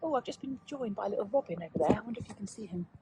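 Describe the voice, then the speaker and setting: A woman teacher reading aloud and chatting to young pupils in a measured, child-directed way. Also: medium pitch, animated, noisy background. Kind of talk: children's read-aloud and informal lesson talk.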